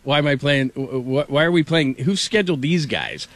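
A man hosting a morning sports radio show, telling stories in a rambling, animated way with many restarts. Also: a little high, brisk, dry recording. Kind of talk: casual morning show talk.

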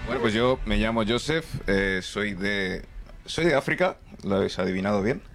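A man's voice: fairly low pitch, expressive, normal speed, loud music behind the voice. A young man from Nigeria doing stand-up, telling chatty stories and checking in often with the crowd. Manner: chatty, storytelling with frequent "¿vale?" and "¿sabes?". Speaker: stand-up comedian. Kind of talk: stand-up comedy set. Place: comedy club stage with a laughing audience.

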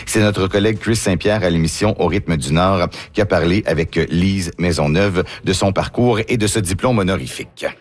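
A man hosting a radio news show, introducing segments with smooth, measured delivery. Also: low voice. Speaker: radio host.

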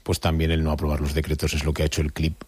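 A man's voice: extremely low pitch, monotone, very fast, darkish tone, husky, dry recording. A man as a radio interview guest, thinking aloud hesitantly with many fillers. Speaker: neighborhood federation president.

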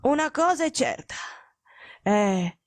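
A woman reading an audiobook voices a gravely ill man who gives weak, rasping, slow but commanding orders. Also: high voice, big pitch swings, no room sound, voice pushed up, exaggerated voice. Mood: weak imperious. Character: gravely ill, imperious man detective, feverish.

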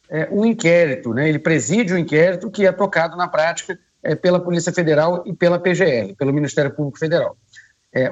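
A man with a cold giving measured radio news commentary, pausing often with hesitations. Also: high voice. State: hoarse from a cold. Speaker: political commentator.

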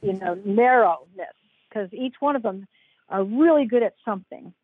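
A woman's voice: medium pitch, lively intonation, moderate pace, husky, dry recording. An older woman, a guest author, chatting on a podcast with enthusiasm and frequent laughter. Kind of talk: casual podcast chat. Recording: tinny phone-like line, dry.

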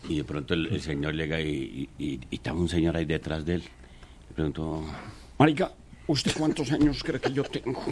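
A man's voice: low voice, darkish tone, slight background noise. A man telling a rambling joke on a live comedy radio show, laughing at his own punchlines.

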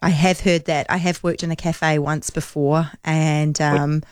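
Radio host, New Zealand woman, low-ish voice, dry studio sound, easygoing and agreeable. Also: flat delivery, measured pace, no echo. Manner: chatty and agreeable, laughs easily. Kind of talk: casual radio chat with callers.

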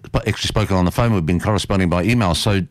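NZ-accented male host, 60s, very low pitch, rambling hesitant delivery. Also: very fast, no echo, clean studio sound. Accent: New Zealand. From New Zealand. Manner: rambling, hesitant questions full of fillers. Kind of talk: panel discussion.

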